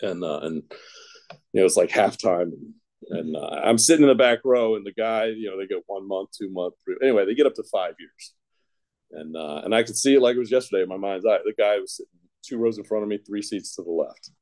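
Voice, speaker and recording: A man's voice: lowish voice, fairly quick, a little mellow. A man from Ohio telling his own recovery story in a loose, rambling way, full of "you know" fillers and self-deprecating jokes. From Ohio, United States. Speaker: speaker sharing a recovery story. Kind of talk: casual personal storytelling.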